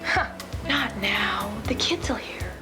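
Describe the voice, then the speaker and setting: Woman acting a nagging, suspicious wife over loud music. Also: medium pitch, lively intonation, slow. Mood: hushed, annoyed. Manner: accusing, scolding. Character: nagging, suspicious wife in a parody phone-line ad. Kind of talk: comic advert acting.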